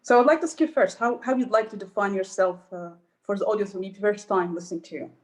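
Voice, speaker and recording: Woman podcast host with a halting manner. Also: mid-range voice, a little mellow. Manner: hesitant questions, with fillers and restarts. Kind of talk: interview hosting.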